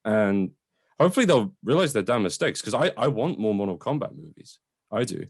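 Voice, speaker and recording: Low-voiced man in casual film debate. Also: sing-song, fairly quick, slightly rough, dry recording, clean studio sound. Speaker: podcast co-host reviewing films. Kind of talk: casual opinionated film discussion.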